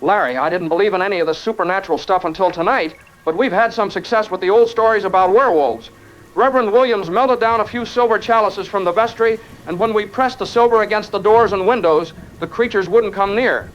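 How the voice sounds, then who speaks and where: A man's voice: high-pitched, a bit bright. A man playing a shaken but rational caller, giving an earnest, tense eyewitness account in a radio drama. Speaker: phone-in caller. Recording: dull, band-limited phone-in sound.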